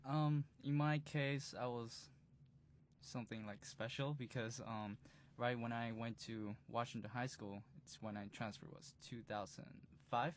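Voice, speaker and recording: Man, early 20s, mid-pitched, relaxed, faint hiss. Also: measured pace. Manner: relaxed, with frequent fillers. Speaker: b-boy instructor. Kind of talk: recorded interview recollection. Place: museum interview room.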